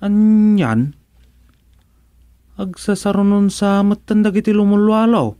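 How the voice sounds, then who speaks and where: A flustered ilocano village errand man for radio comedy, voice a bit high, close dry sound. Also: slow, mellow, dry recording. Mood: uneasy.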